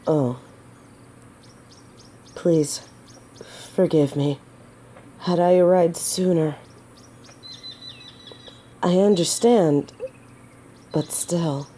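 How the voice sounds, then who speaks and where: A woman's voice: lowish voice, warm tone. A woman playing a noble, humble lady knight in an audio drama, courteous and protective, with formal, old-fashioned courtly phrasing. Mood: concerned. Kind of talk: scripted roleplay audio drama to the listener.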